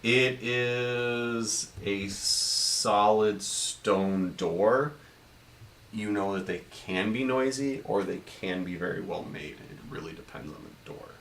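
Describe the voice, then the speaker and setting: A man's voice: on the low side, takes it slowly, a bit bright. A man acting as game master at a home tabletop game, narrating scenes and answering his players. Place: indoor room, around a home game table. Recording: roomy, distant mic, faint noise.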